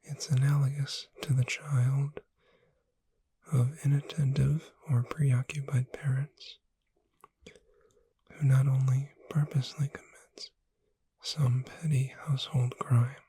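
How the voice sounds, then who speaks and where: A woman whispering in a soothing, deliberate ASMR style, reading aloud to lull a listener to sleep. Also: rumbling low, little pitch movement, mellow, husky, no room sound, low-quality recording. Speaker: ASMR performer.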